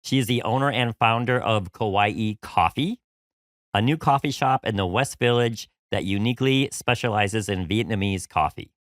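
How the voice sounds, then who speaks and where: A man from New York hosts a casual podcast interview, full of eager praise and filler phrases. His voice sits on the low side for a man. The sound is close and dry, with no room echo. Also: normal speed. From New York City. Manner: upbeat, effusive, many "you know"s. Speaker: podcast host.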